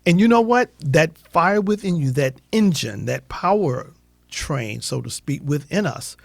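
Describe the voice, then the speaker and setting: Higher-pitched man, casual radio talk. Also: animated, measured pace, vocal fry, no echo. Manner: affirming, repeats short phrases.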